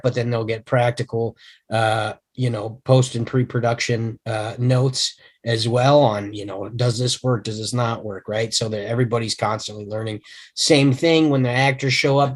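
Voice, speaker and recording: Upbeat actor chatting on a video call. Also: male voice, medium pitch. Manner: upbeat, rambling. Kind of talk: casual chat.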